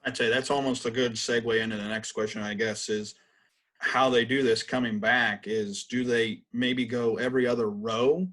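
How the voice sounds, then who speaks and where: A man's voice: medium pitch, fairly even intonation, normal speed, fairly bright tone. A man co-hosting a podcast, casually and somewhat tentatively phrasing his questions. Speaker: podcast co-host, interviewer. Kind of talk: casual interviewing.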